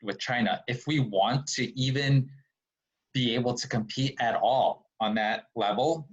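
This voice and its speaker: A man's voice: mid-range voice, measured pace, muffled recording. A man in his forties, a technologist and guest in a casual conversation, speaking in a blunt, urgent, emphatic way. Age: 40s.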